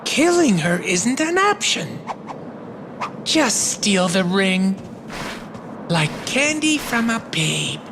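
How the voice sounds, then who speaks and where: A woman's voice: mid-range voice, big pitch swings, slow, long pauses, full character voice. A woman playing a sly, smug, bullying henchman in a video game, with a taunting, wheedling manner. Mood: scheming. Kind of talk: anime-style video game voice acting. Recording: clear background noise and ambience.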